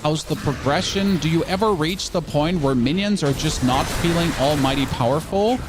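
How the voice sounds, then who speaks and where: Excitable German man streaming a game. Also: a little high, normal speed, background noise. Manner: chatty, excitable, self-correcting. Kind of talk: casual gameplay commentary.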